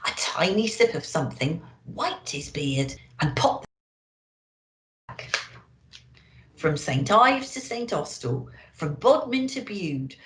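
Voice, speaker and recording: A woman reading a children's storybook aloud in an expressive, theatrical way, addressing young listeners. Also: mid-range voice. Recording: echoey, roomy sound.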